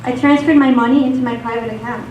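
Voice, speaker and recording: A woman's voice: fairly high pitch, fairly even intonation, quick pace, darkish tone, dull audio, close to an everyday voice. A woman acting an anxious, trusting corporate whistleblower in a live radio play. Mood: earnest, relieved. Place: theatre stage. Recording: echoey stage, distant mic, background noise.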